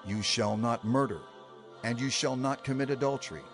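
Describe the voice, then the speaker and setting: A man's voice: medium pitch, normal speed, soft background music, lightly acted. An actor playing a male prophet and leader in a dramatized scripture reading, solemnly exhorting his people in an emphatic, oratorical manner. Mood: solemn command.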